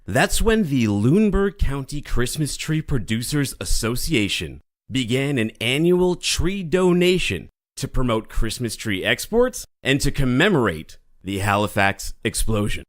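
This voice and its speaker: Lively man reading a script. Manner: lively, drops low at phrase ends. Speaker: podcast host. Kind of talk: scripted narration and ad reads.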